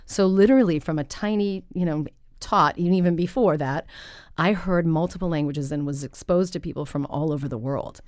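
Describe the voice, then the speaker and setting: A middle-aged woman talks through her past in a podcast studio, her voice on the low side. She tells stories in a lively, chatty way and laughs easily. The sound is dry and close, with no room around it. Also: brisk, clean tone, no echo. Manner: lively storyteller, laughs often. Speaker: guest, interpreter. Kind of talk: conversational podcast interview. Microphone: studio microphone.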